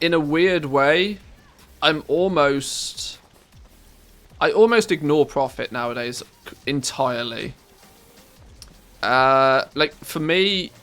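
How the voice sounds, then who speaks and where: A man's voice: medium pitch, a bit bright. Scratchy-voiced English man chatting on stream. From England. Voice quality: scratchy, harsh voice. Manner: rambling, self-correcting, musing to chat. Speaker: live streamer. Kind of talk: casual live-stream chat while playing. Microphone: good studio microphone.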